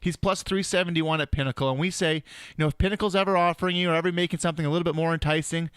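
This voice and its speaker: A man's voice: a little high, steady tone, very fast, fairly bright tone, smooth, dry recording. A man co-hosting a sports betting podcast, chatting eagerly through casual analysis of odds.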